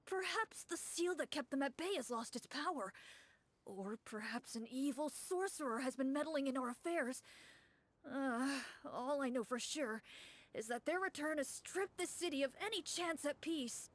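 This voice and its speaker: A woman's voice: high-pitched, animated, normal speed, no echo. A woman voicing an anxious, grateful townsman in a game, speaking in a flustered, earnest and theatrical way. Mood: earnest worry.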